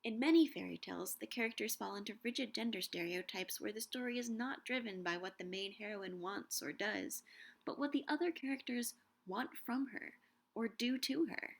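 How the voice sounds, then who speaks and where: Gravelly-voiced woman explaining literature. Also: mid-range voice. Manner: measured, slowing to savor quoted lines. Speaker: vlogger on Shakespeare and books. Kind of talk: scripted educational narration.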